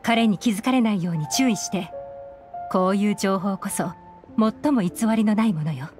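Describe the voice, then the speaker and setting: A woman playing a composed, shrewd young female operative in a video game, unhurried and slightly teasing. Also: medium pitch, lightly acted. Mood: cool instructing. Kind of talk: Japanese video game voice acting. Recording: game music behind the voice.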